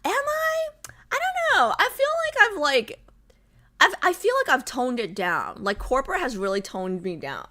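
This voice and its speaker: A woman's voice: sits high, sing-song, moderate pace, pushed and bright. A young adult woman streamer chatting casually with her viewers, bubbly and full of fillers. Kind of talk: casual chat with live chat.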